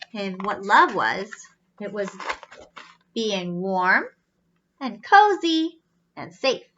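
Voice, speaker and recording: A woman's voice: high-pitched, fairly bright tone, lo-fi sound. A woman reading a children's storybook aloud expressively, adding explanatory asides and wondering aloud to young listeners.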